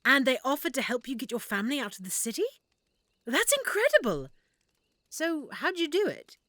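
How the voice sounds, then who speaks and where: Woman acting an animated, affectionate half-elf shopkeeper. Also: high voice, moderate pace, no room sound, heavily acted. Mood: intrigued, attentive. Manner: expressive, warm, reacting with feeling. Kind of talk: roleplay audio drama, speaking to listener.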